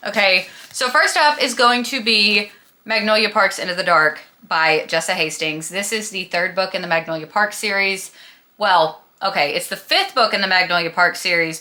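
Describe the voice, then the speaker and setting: A young woman book vlogger with a very bright, forward voice and a slight lisp. She talks casually and gushes with dramatic emphasis, drawing out the words she wants to stress. Her pitch sits in an ordinary middle range for a woman. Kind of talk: casual, chatty book review to camera.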